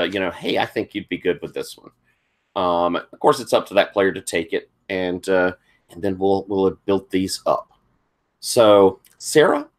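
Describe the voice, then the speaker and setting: A tired man with a thick Southern US accent, politely walking players through tabletop game setup as game master. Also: lowish voice. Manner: polite, accommodating. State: tired from lack of sleep.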